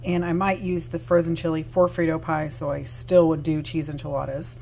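A chatty woman in her thirties with a Southern US drawl talks through her plans in a home kitchen, her voice a little low. She speaks into a phone held close, full of ums and quick self-corrections, with a faint hum of a running dishwasher behind her. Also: flat delivery, creaky, darkish tone. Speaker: vlogger. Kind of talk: casual chat. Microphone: phone held close at arm's length.

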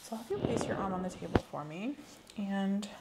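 A soft-spoken woman in an ASMR medical exam roleplay, giving gentle, measured instructions as the examiner. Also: medium pitch, expressive, background noise. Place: small indoor room set up as an exam room.

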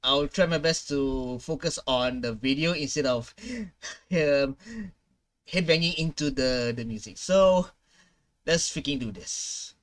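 A young man hosting a casual gaming reaction video, commenting in an excitable, slangy way. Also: mid-range voice, slow. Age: young adult. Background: game trailer music.

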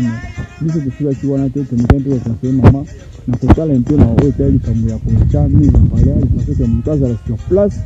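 A man speaks as a field reporter, recorded outdoors on open land. He talks in an animated way at an ordinary pitch, with music running clearly behind him. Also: muffled recording. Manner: animated, switching between Lingala and French.

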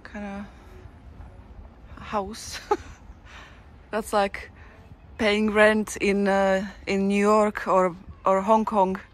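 A woman's voice: medium pitch, level tone, drawn-out words, long pauses. A woman chatting casually to her viewers while walking through a town, rambling with restarts, recorded on a handheld camera outdoors. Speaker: travel vlogger. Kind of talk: casual walking-tour vlog commentary.

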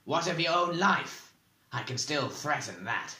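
A man's voice: a little high, sing-song, unhurried, pushed and bright, roomy sound, pitched above the performer's own voice, heavily acted. A man voicing a furious, sneering, imperious male magician in an audiobook story. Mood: menacing anger. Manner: menacing, imperious.

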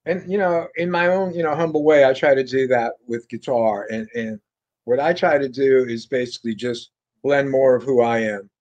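An older man from France, speaking at an ordinary middle pitch, tells his stories in a loose, rambling way full of fillers and restarts. He comes through a video call from a home room lined with bookshelves. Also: steady tone, moderate pace, darkish tone, highs cut off. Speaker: guest guitarist. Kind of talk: casual interview storytelling.

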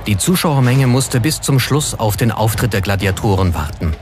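Male documentary narrator, low voice, scripted delivery, prominent music underneath. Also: lively intonation, moderate pace. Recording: music behind the voice.

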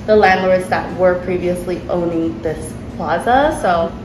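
A chatty woman with a slightly low voice, restarting her thoughts as she talks and laughing along the way. She is recorded in a restaurant, with room echo and loud background noise. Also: unhurried. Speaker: restaurant owner. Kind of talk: casual interview. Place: sushi restaurant.

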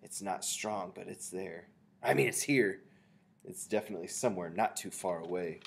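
A man voicing an excitable female witch in a storybook, yelling urgently in a high-pitched voice. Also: moderate pace, vocal fry, breathy edge, exaggerated voice. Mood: alarmed, excitable. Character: excitable shrieking tall witch with keen nose.

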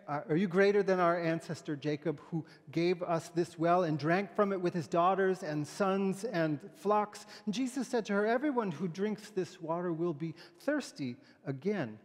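A middle-aged man, a pastor giving a sermon and readings in a gentle, halting, informal way. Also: sits high. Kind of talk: sermon with poem and scripture readings, conversational. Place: large church sanctuary, indoors, at a pulpit. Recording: echoey church hall, long reverb.

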